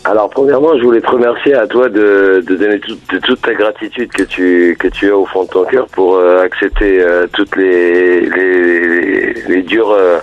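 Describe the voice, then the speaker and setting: A man from the Paris region rings in to a radio show and talks in a slow, halting way, repeating words and filling gaps. His voice sits low, and it comes through a muffled, lo-fi phone line. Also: steady tone, no echo, soft background music. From Paris region, France. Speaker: radio caller.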